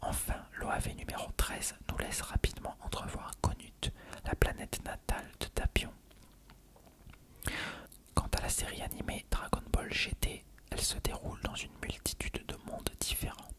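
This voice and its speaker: An ASMR narrator reading encyclopedic text in a breathy, unvoiced whisper, measured and careful.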